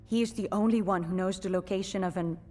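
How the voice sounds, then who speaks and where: An actress playing a stern special forces officer, curt and authoritative, in a cinematic video game. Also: female voice, medium pitch, steady tone, fast, dark tone, soft background music, natural voice. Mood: measured, guarded.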